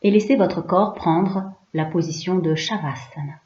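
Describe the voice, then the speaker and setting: A woman guides a relaxation session as a yoga teacher. Her voice is soft-edged and mellow, a little low, and she moves very slowly through short, soothing instructions with pauses between them. The sound is slightly dull. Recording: roomy, slightly dull recording.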